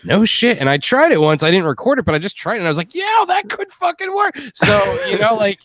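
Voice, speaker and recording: A man's voice: high voice, sing-song, fairly quick, a bit bright, no room sound. A man chatting casually on a live stream as an audio drama creator, slangy, profane and wisecracking.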